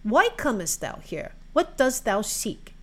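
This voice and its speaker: A woman's voice: a little high, big pitch swings, slow pace, a bit bright, heavily acted. A great, grave spirit of the winter month, read by a woman. He speaks solemnly and with stately authority, in the old-fashioned manner of a fairy tale. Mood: solemn questioning.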